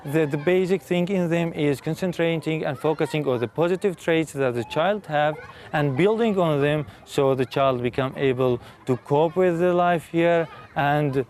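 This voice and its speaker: Man answering in a thoughtful, halting way. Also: fairly high pitch, darkish tone. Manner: thoughtful, halting, repeats words. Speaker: aid worker. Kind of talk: interview answers.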